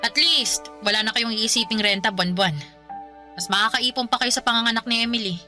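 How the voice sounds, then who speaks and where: An actress playing an exasperated older sister in a Filipino radio drama, blunt and scolding with a caring streak. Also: female voice, on the high side, quick pace, bright, forceful tone. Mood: resigned, caring. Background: music bed.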